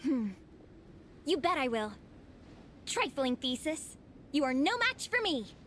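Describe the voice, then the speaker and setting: A woman's voice: high voice, big pitch swings, slow, a bit bright, slight background noise, exaggerated voice. A woman voicing an earnest young student superfan in a video game, with dramatic, grandiose phrasing. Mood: determined, dramatic.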